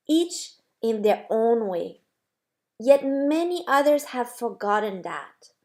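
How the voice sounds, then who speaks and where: A woman playing a gentle, wise, amused God in a storybook, explaining patiently and reassuringly. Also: fairly high pitch. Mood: encouraging. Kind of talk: storybook character voice.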